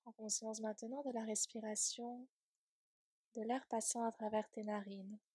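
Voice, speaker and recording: A woman leading a guided yoga nidra relaxation in a soft, breathy, soothing voice, gently trailing off at times toward a whisper. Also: on the high side, flat delivery, moderate pace, no room sound, studio quality.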